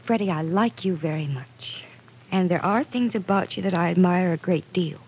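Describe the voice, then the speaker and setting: A woman's voice: lowish voice, darkish tone, husky, poor audio. An actress playing a direct, earnest woman in an old-time radio drama, questioning firmly and persistently. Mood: stern, reproachful. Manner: firm, measured, probing.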